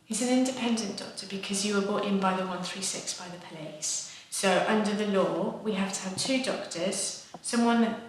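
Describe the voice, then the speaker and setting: A woman acting a calm, practical psychiatrist who speaks plainly and reassuringly to a patient, recorded in an echoey room. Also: mid-range voice, normal speed, hardly any pauses, lightly acted. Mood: explaining, reassuring. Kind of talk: acted clinical consultation. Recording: echoey room, distant mic, faint noise.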